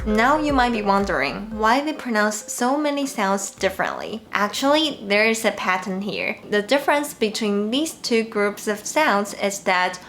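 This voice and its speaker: A woman's voice: medium pitch, big pitch swings, a bit bright, soft background music. Taiwanese-accented woman teaching pronunciation. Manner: clear, explanatory, slows down on example sounds. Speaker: Mandarin teacher. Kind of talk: scripted pronunciation lesson.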